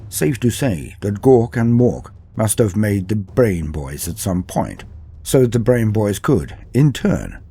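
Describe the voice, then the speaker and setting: A man giving a measured, dramatic scripted narration of a fictional history. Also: deep voice, dark tone, slightly rough. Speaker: lore narrator.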